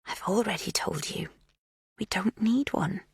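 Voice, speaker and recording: An unhinged woman villain from an audiobook thriller, played by a woman narrator. She sounds plaintive yet disdainful and brooding, breathy and raised in pitch. The recording is very dry. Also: very animated, slow, no room sound, full character voice. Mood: menacing hush.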